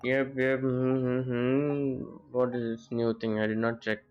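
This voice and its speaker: A man's voice: medium pitch, flat delivery, takes it slowly, muffled recording. A man streams a video game, talking casually as he goes. He rambles and thinks aloud, often repeating his words, with an ordinary mid-range voice. Game music plays behind him. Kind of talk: casual live gameplay commentary. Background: game music and character voices.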